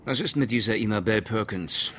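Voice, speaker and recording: An actor playing a tough police detective in a radio crime drama, speaking in a dry, blunt manner. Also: male voice, on the low side, brisk, a bit bright, slight background noise, lightly acted.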